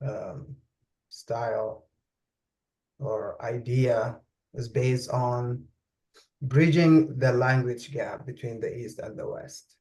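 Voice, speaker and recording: A man, speaking English as an in an interview. He talks slowly and deliberately, pausing often to search for the right words, at a middle pitch for a man. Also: fairly even intonation, darkish tone, poor audio. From Saudi Arabia. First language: Arabic. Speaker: guest expert. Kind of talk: interview conversation.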